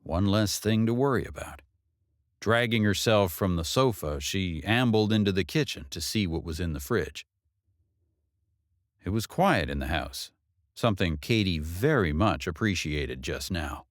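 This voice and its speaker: A man reading a novel as an audiobook narrator, in a measured, expressive delivery with drawn-out phrases. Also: deep voice, no echo, clean studio sound.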